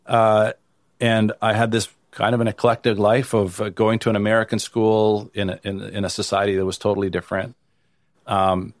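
Low-voiced man, relaxed interview talk. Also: dry recording, clean studio sound. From Italy. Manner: casual, frequent restarts and "you know". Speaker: venture capital investor, guest. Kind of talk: conversational interview answers.

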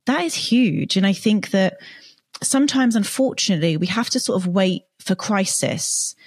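A woman's voice: mid-range voice, expressive, moderate pace, breathy edge, dry recording. A woman hosting an interview podcast, a career coach herself, enthusiastic and affirming as she talks in long runs. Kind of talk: interview chat.

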